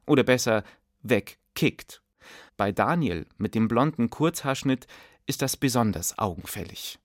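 A male narrator reads a documentary script in a measured, clear way. The sound is dry and close, with no room around the voice. His pitch sits in the middle range for a man. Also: expressive, no echo. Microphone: close studio mic.

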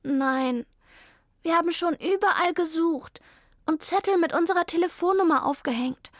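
A woman's voice: very high voice, moderate pace, no echo, clean studio sound, voice pushed up. A woman voicing a young child in a children's story who is anxious about a lost pet. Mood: sad. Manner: anxious, sad. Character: sad worried young child.

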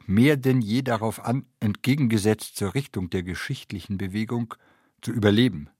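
A man's voice: mid-range voice, slightly rough, no room sound, clean studio sound. A man reading scripted literary narration.

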